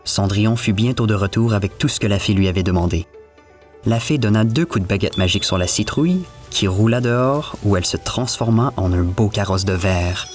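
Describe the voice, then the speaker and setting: A man's voice: lowish voice, brisk, soft background music. A man telling a scripted fairy tale as the storyteller. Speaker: storyteller, narrator.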